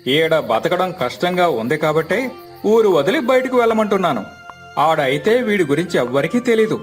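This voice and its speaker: నటుడు పోషించిన ధైర్యం చెప్పే పల్లె పెద్దమనిషి, హెచ్చు గొంతు. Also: male voice, sits high, sing-song, a little fast, faint music behind. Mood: advising. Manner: reassuring, rustic colloquial advice. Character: practical, helpful elder village family friend. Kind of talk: dramatized moral story.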